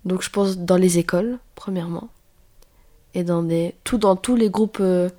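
A woman's voice: lowish voice, normal speed, warm tone. A woman on a discussion panel, speaking tentatively and searching for her words.

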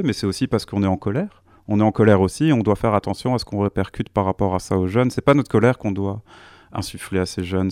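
A man's voice: low voice, a little mellow, no room sound, studio quality. A man, speaking as a youth worker on a panel, earnest and thoughtful, often restarting his sentences. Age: 30s. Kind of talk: radio panel discussion.

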